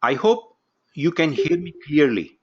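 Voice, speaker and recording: A man opening an online course from his home office, giving instructions briskly and calling on participants one by one. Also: fairly high pitch, sing-song, slightly rough, dull audio. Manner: brisk, instructive, takes roll call. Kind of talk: live online class introduction. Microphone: video call.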